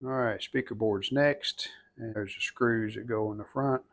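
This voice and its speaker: A man giving casual how-to narration as a hobbyist restorer, plain-spoken and folksy, low-key, talking in short phrases. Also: fairly even intonation, moderate pace, fairly bright tone. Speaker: hobbyist restorer demonstrating a repair.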